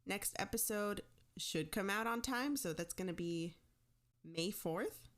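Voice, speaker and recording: A woman podcast host with a medium-pitched voice chats casually to her listeners. She is informal and chirpy, with a self-deprecating streak. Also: measured pace. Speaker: podcast host and show creator.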